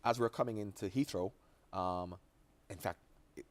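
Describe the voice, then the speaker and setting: A man's voice: on the low side, normal speed. A man in his forties from Jamaica, a former footballer chatting as an interview guest, telling long stories with restarts and asides. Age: 40s. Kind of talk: relaxed interview chat.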